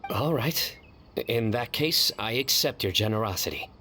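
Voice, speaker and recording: A man playing a stern, earnest young officer in an anime video game, speaking in a measured, grandly formal way. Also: mid-range voice, animated, bright, forceful tone, a little hiss or hum. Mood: resolute.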